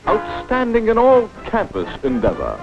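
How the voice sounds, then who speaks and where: An actor playing a pompous mock-heroic narrator of a 1940s cartoon, florid and theatrical. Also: male voice, sits high, wildly swooping pitch, normal speed, exaggerated voice. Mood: grandly admiring. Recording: muffled 1940s film soundtrack, music behind.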